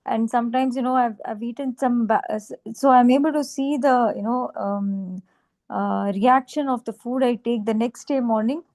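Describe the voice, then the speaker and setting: A woman's voice: fairly high pitch, normal speed, a little mellow. Polite woman on a video call. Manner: polite, fillers, restarts. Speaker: program client. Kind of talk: casual online meeting talk.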